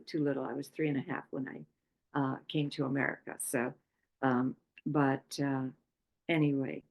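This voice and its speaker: A woman from Poland giving an unscripted talk on chakras, speaking haltingly with many pauses and fillers. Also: deep voice, darkish tone. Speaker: chakra workshop presenter.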